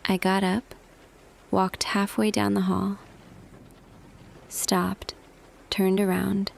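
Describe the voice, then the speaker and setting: A woman playing a tender female boss confessing love to an employee in an intimate roleplay audio, hushed and hesitant, slipping between whisper and soft voice. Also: medium pitch, monotone, slow, vocal fry, slight background noise. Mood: confessional, longing.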